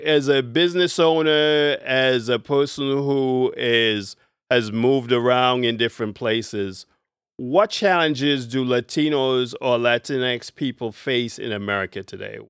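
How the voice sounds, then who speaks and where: A man's voice: medium pitch, measured pace, fairly bright tone, clear, resonant tone, dry recording, clean studio sound. A man hosting an interview, asking loose, stacked questions and rephrasing as he goes. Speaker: interviewer, host.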